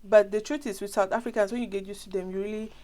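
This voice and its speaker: A woman's voice: medium pitch, steady tone, fast talker, dark tone. A young woman with a Cameroonian accent who has a flu, chatting casually and ramblingly as she addresses her viewers directly. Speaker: vlogger.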